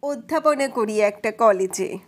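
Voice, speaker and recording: A woman voicing a female college lecturer giving testimony in an audio story, measured, deliberate and matter-of-fact. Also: on the high side, lively intonation. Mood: composed, deliberate. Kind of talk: dramatized witness testimony.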